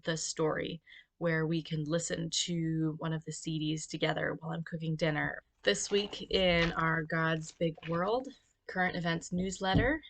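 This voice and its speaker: A homeschooling mother talking casually on her vlog in a measured, reflective and articulate way. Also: low-pitched, a bit bright. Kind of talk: casual educational vlog talk.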